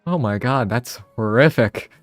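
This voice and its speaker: A man's voice: mid-range voice, unhurried, no echo, soft background music. A man casually thinking aloud on a live stream, rambling and interrupting himself, a bit sniffly from a cold.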